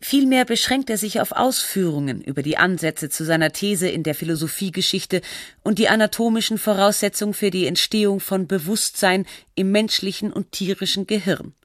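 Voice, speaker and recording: A woman narrating a radio feature in a measured, factual and articulate scripted style. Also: mid-range voice, dry recording, clean studio sound, natural voice.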